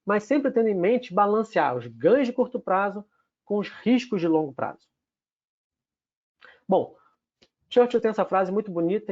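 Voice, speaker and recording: Carioca man, high voice, methodical webinar presenter, muffled lo-fi stream. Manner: structured, lists numbered takeaways.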